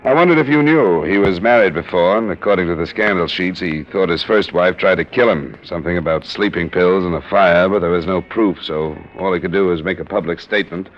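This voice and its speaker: A man's voice: low-pitched, a little fast, few pauses, lightly acted. An actor playing a hard-boiled insurance investigator who narrates his cases in a dry, matter-of-fact way, in a 1950s radio drama. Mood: steady. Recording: muffled old radio broadcast with music.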